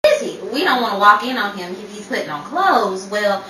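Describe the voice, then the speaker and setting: Woman playing an excitable, prim wife, lively pitch. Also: a little fast. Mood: prim, alarmed. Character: prim, excitable wife and movie-mad house hunter. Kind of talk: 1940s radio comedy acting. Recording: roomy, distant mic, loud background noise.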